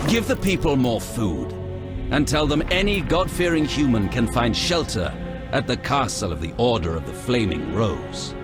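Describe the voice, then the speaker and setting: Video game villain: male actor as fanatical knightly grand master, grandiose orders, hugely dynamic intonation, loud music underneath. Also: medium pitch, sing-song, moderate pace, close to an everyday voice. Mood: commanding. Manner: imperious, grandiose, declamatory. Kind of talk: dramatic video game acting.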